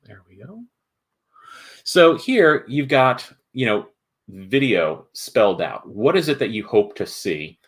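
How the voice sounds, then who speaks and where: A man hosting a casual livestream walkthrough, explaining things in a halting, thoughtful way. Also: mid-range voice, sing-song. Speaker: host, board game video maker.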